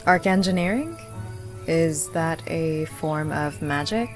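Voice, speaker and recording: A woman's voice: deep voice, animated, faint music behind. A woman playing a young necromancer in a visual novel, speaking formally and with composure as a royal deputy. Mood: composed. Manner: formal, measured. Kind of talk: visual novel character acting.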